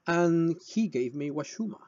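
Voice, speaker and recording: A man from Peru with a Peruvian Spanish accent and a husky voice, explaining and telling stories to viewers in short, emphatic phrases with deliberate pauses. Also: fairly high pitch, lively intonation, a little mellow, no room sound, muffled recording. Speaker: shamanic healer presenting a video. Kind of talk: explanatory talk with personal storytelling.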